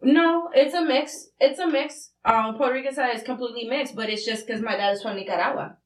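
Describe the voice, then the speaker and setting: A woman in her thirties speaks bluntly and with passion, her voice set a little high. She sits back from the microphone in a roomy space, so the sound carries an echo. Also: fairly quick, recorded from a distance. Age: 30s. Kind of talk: candid group discussion. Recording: roomy sound, away from the mic.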